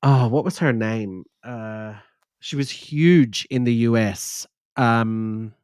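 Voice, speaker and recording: A man's voice: medium pitch, takes it slowly, darkish tone, clear, resonant tone, dry recording. Man, forties, host, earnest and reflective, dry close sound. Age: 40s. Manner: earnest, reflective, frequent agreeing fillers. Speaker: podcast host. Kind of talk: casual interview chat.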